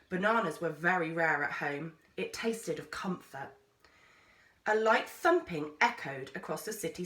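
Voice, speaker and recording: Bright, animated woman reading a story. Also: lowish voice. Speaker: teacher reading aloud. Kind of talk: expressive storybook narration. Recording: roomy echo, distant microphone.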